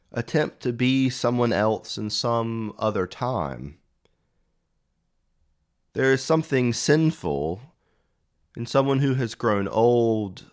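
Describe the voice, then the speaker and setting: A man preaching a reflective homily in measured, deliberate phrases. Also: lowish voice.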